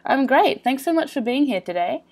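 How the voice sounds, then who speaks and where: A woman's voice: sits high, animated, moderate pace, a little mellow. A woman hosting a podcast interview, asking questions in a friendly, upbeat way. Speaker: podcast host.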